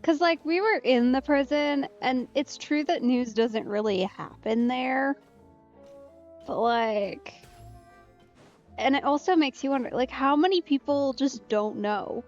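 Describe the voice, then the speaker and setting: A woman's voice: sits high, a little slow, lo-fi sound. A woman streamer giving casual commentary as she plays a game. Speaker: let's-play streamer. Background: faint game music.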